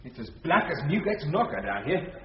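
An actor playing a brusque, sceptical British husband with dry humour in a radio thriller, husky-voiced. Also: male voice, fairly high pitch, very animated, a little fast, heavily acted. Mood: gruff, determined. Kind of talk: radio thriller drama acting. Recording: dull radio drama recording.

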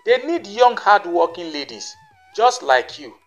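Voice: mid-range voice, big pitch swings, a little slow, lo-fi sound, faint music behind. A narrator voicing a reassuring, scheming madam. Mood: persuasive. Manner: reassuring, persuasive. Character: smooth-talking, scheming madam luring a young woman.

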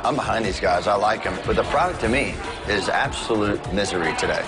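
A man's voice: lowish voice, expressive, a little fast, music in the background. A man acting as an investor host who asks pointed questions in a blunt, candid way.

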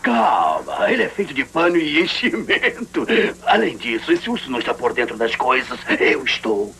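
A man voicing a sly, sinister smoke creature in a dubbed cartoon, coaxing and sneering with a gravelly voice. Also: mid-range voice, wildly swooping pitch, normal speed, sharp tone, a little hiss or hum, full character voice. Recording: old cartoon soundtrack with music.

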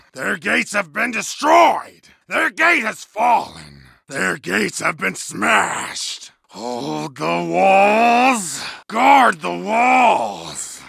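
A man voicing a savage, bloodthirsty berserker warrior in a video game, bellowing battle cries and growled threats in a gravelly voice. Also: high-pitched, pushed and bright, dry recording, clean studio sound, exaggerated voice. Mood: commanding. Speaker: game character voice. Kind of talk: video game combat voice lines. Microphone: close studio mic.

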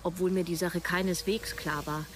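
A woman's voice: fairly low pitch, fairly even intonation, normal speed, noisy background, lightly acted. An actress playing an observant, wry woman in her thirties who narrates a radio play in the first person. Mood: watchful, wry. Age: 30s.

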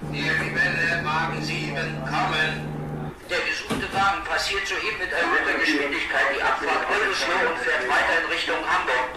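A man's voice: fairly high pitch, normal speed, long pauses, over a noisy crowd, close to an everyday voice. Echoing, distant man acting a police radio operator. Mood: businesslike. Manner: clipped, procedural. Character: formal, businesslike police and tower radio operator. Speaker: actor in an audio drama. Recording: distant echoing radio, loud vehicle noise.

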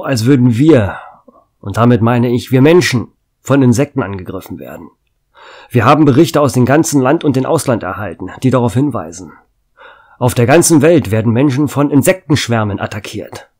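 Man as a small-town radio host, urgent, lively pitch, husky, music behind. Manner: urgent, addresses listeners. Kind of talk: amateur radio play acting.

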